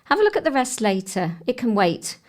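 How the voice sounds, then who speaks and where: A woman's voice: a little high, sing-song, brisk, slight background noise. A woman playing a caring, down-to-earth mother in an audio drama. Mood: breezy, caring.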